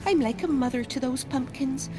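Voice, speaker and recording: A woman playing a doting, excitable and genteel gardener in a video game, with a theatrical, quaint manner. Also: high voice, normal speed, lightly acted. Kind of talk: video game character acting. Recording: music behind the voice.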